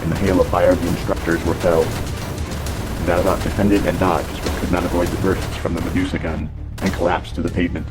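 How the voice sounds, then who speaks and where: A man reading scripted narration for a pulp adventure serial in a measured, dramatic way. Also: low-pitched, level tone. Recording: loud music behind narration.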